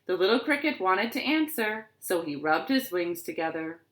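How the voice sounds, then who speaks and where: A woman reading stories and rhymes aloud to young children in an expressive, deliberate way, recorded in a living room. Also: fairly low pitch. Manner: expressive, deliberate, asks the listeners questions. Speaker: children's librarian storyteller. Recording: roomy, echoing living-room sound.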